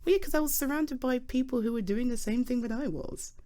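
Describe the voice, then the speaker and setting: A British woman playing a good-humoured, nerdy geologist in a conversational ASMR roleplay, chatty and halting as she explains things earnestly. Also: high voice, dark tone, natural voice. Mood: flustered, defensive. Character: good-humoured, nerdy young female geologist, flustered but enthusiastic. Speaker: audio roleplay performer. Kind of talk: ASMR roleplay, conversational one-sided dialogue.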